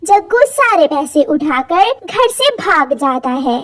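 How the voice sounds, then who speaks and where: A woman narrating a children's story in an animated storybook style. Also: high-pitched, takes it slowly.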